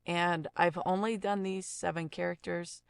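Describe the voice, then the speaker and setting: A woman giving a casual audio software tutorial, explaining informally and thinking aloud as she works. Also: fairly low pitch, steady tone, measured pace, gravelly, clear, resonant tone, dry recording.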